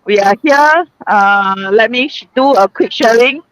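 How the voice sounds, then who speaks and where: A woman's voice: fairly high pitch, unhurried, a bit bright, rough recording. A woman from Singapore hosting a casual group live stream, chatty and laughing, with many fillers, speaking from a shopping mall.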